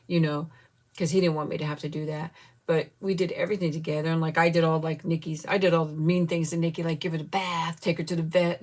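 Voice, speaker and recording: A woman in her fifties chatting candidly with viewers, grieving and close to tears, rambling with soft laughs and trailing pauses. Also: on the low side. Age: 50s. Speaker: vlogger chatting with live viewers. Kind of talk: casual live chat.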